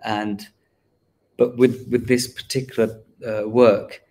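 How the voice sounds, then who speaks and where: A man's voice: on the low side, flat delivery, slow pace, a little mellow. A man who is an artist, talking reflectively about his work with many hesitant fillers. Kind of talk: livestream discussion.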